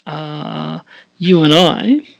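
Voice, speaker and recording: A man's voice: fairly high pitch, drawn-out words, gravelly, no room sound. A man chatting casually in a hesitant, self-deprecating way, with giggles. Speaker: amateur scriptwriter and performer. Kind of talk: casual chat.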